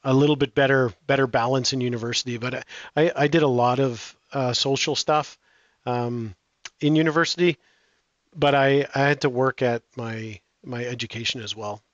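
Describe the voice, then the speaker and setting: A man's voice: mid-range voice, fairly even intonation, normal speed, no room sound. Hesitant man, dull dry audio. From Canada. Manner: hesitant, frequent restarts and fillers. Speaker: guest. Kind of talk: casual interview answers.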